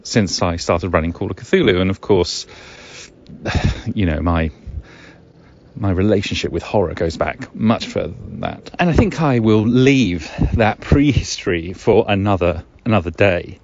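Podcast host, male, middle-aged. Low register, hesitant musing with wry asides, slightly dull recording. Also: darkish tone. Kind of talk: musing solo podcast monologue. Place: outdoors in the woods. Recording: dull lo-fi recording, ambient noise.

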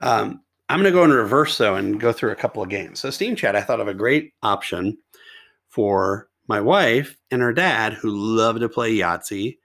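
A middle-aged man with a hoarse, sore throat hosts a casual podcast. His low voice has a gravelly, fried edge. He rambles through the topic, restarting sentences and tossing in asides. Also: expressive, normal speed, fairly bright tone. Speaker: podcast host. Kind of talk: casual tech review monologue.

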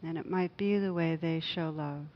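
A woman meditation teacher leading a guided loving-kindness meditation in a gentle, hushed, unhurried manner with long pauses. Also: low-pitched, fairly even intonation, dull audio.

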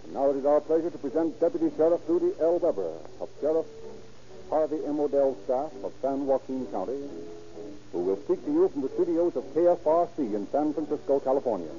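An American man announcing and pitching a sponsor in a formal, emphatic 1930s radio style. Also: expressive, brisk, dark tone. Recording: dull vintage radio recording with music.

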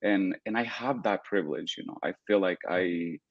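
A young man from El Salvador, speaking as a guest in an interview. He talks earnestly and deliberately, with many "uh" and "you know" fillers. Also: deep voice, no room sound, dull audio. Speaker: guest, political activist. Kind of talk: podcast interview.

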